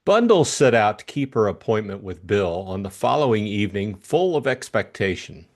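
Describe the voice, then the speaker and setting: A man narrates a scripted story as an audiobook co-host. His storytelling is expressive, with a widely moving, animated pitch. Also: normal speed.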